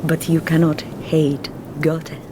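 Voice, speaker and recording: An actress with a Russian accent playing a guarded, earnest young Russian woman in a film drama who speaks in a formal, measured and reserved way. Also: low-pitched, level tone, background noise.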